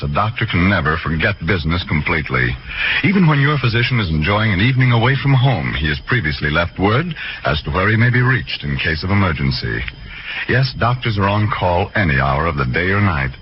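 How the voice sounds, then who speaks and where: A man's voice: low-pitched, a little hiss or hum. An American man announcing formally and promotionally in scripted 1940s radio style. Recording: muffled 1940s radio broadcast, music behind.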